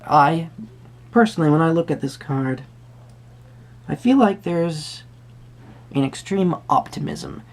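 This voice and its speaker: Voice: mid-range voice, animated, unhurried, pauses often. A tarot reader speaking to the listener in a roleplay, musing hesitantly in wordy, formal phrases. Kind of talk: soft-spoken roleplay tarot reading addressed to the viewer.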